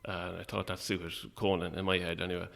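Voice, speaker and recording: A man's voice: low-pitched, little pitch movement, brisk, vocal fry, a bit bright. An Irish man chatting casually as an interview guest, a little hesitant and self-effacing. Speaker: guest, playwright.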